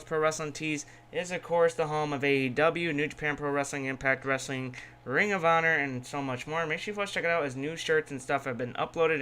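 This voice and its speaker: Higher-voiced man hosting a casual podcast. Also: fairly bright tone. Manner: informal, sweary, repeats himself. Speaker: podcast host. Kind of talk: casual chat and unscripted sponsor reads.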